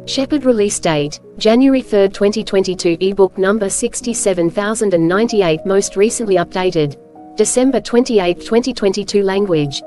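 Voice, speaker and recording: A woman reading scripted storybook narration as host, with measured, clear phrasing. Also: mid-range voice, mellow.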